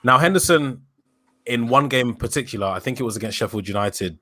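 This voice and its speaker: A young man in his early twenties, a podcast host, calmly building his case in a casual football chat. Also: medium pitch, a little fast, dry recording. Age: young adult. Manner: thoughtful, builds an argument with hesitations.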